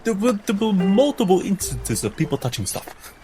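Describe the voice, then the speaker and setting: Woman as a lively, indignant, fussy gentleman. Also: mid-range voice, moderate pace, soft background music, close to an everyday voice. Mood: exasperated. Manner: fussy, pointed, formal wording. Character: prim, indignant gentleman adventurer, exasperated and particular. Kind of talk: improvised in-character tabletop roleplay.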